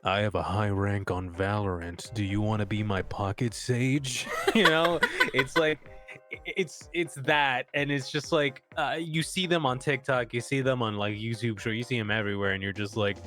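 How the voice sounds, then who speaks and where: A man's voice: lowish voice, moderate pace, music in the background. A young man chatting casually on a stream, rambling with lots of fillers and restarts. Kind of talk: casual chat.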